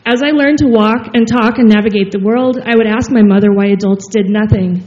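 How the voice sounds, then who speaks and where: A woman in her thirties delivers an impassioned public comment at a civic meeting, with a loud voice. Her pitch sits a bit high, but her tone is mellow. The sound is dull and muffled. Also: fairly quick. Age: 30s. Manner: earnest, impassioned, reading a statement. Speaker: youth climate advocate giving public comment. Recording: muffled meeting-room audio.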